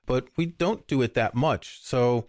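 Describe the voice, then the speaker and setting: An older man chats casually as co-host of a music podcast. His voice sits at a middle pitch and sounds dry and close to the mic. He rambles a little and restarts his sentences often. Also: a little slow, dry recording. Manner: relaxed, rambling, many restarts. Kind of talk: casual chat.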